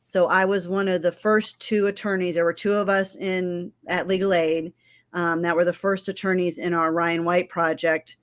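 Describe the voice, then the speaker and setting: A woman in her fifties from Florida, a legal aid attorney, answering interview questions in a friendly, measured way with frequent ums. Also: on the low side, flat delivery. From Florida, United States. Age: 50s.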